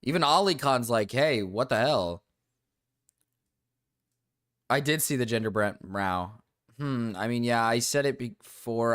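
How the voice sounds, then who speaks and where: A young man streaming and ranting to his chat, rambling with restarts and fillers, his voice going low and cracking. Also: a bit bright. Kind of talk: casual livestream rant to chat.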